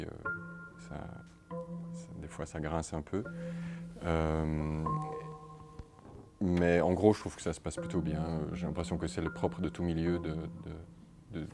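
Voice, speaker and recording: Halting deep-voiced man, music behind. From Netherlands. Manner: halting, many repeated words and fillers. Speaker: artist interviewee. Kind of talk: hesitant interview answers.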